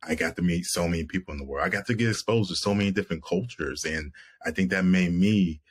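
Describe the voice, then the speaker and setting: A man's voice: low voice, fast, slightly rough. A man in his thirties, an actor guest on a video call, speaking earnestly and reflectively with frequent restarts. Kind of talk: reflective interview talk. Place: room at home, video call.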